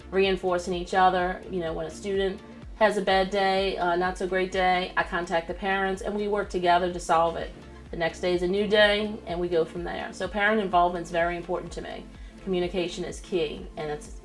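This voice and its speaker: A woman's voice: lowish voice, little pitch movement, fairly quick, faint music behind. A woman elementary teacher speaking sincerely and plainly in interview answers.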